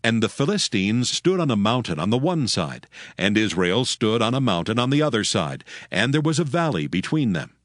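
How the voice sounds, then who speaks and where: Deep, expressive male scripture narrator. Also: no echo, studio quality. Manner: solemn, measured storytelling. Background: music.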